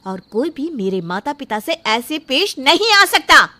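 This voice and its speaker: A woman's voice: high-pitched, sing-song, unhurried, bright, forceful tone, exaggerated voice. A woman playing an outspoken, self-respecting young bride-to-be in a story drama, speaking firmly and indignantly. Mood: angry, accusing. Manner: firm, indignant, emphatic. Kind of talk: dramatised Hindi moral story acting.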